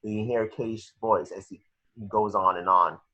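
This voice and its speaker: A dull, lo-fi recording of a man with a lowish, slightly husky voice. He narrates action lines from a screenplay, halting now and then as he reads. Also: steady tone, sharp tone. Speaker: reader of action descriptions. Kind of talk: screenplay narration at a table read. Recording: muffled lo-fi home recording.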